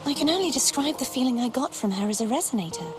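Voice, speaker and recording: An actress voices a young maiden of a video game, her voice fairly high over prominent music. She is composed and wistful, and phrases things formally, with the measured air of someone long alone. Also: expressive, close to an everyday voice. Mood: hesitant, searching. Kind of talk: scripted video game dialogue.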